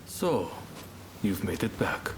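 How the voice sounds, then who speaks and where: A grave, suspicious authority figure for a dramatic game scene. His deep voice is brooding and very deliberate, with faint ambience around it. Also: sing-song, slight background noise, exaggerated voice. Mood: guarded. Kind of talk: dramatic video game cutscene acting.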